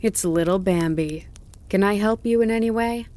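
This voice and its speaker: A woman's voice: mid-range voice, normal speed, dark tone, lightly acted. A woman voicing a limping male deer who speaks in a genteel, mocking, contemptuous tone. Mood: genteel mockery.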